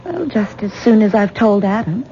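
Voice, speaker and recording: A woman playing an anxious, exasperated wife in a 1970s radio drama, halting and repeating words as she insists. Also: medium pitch, animated, close to an everyday voice. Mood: resolute, exasperated. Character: anxious, exasperated wife and young mother, adult daughter. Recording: dull, noisy 1970s radio broadcast recording.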